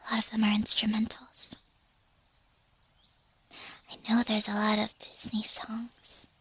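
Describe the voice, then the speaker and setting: A woman speaking in a soft, breathy, slightly hoarse whisper, chatting gently to listeners in an ASMR roleplay style. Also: mid-range voice, monotone, a little slow. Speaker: streamer performing as a flower fairy character.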